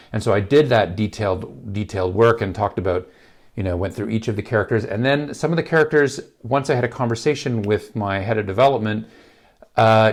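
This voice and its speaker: Slightly gravelly, rambling film teacher man. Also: lowish voice. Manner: rambling, many fillers and restarts. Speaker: filmmaking channel host. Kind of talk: casual talk to camera. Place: large indoor office space.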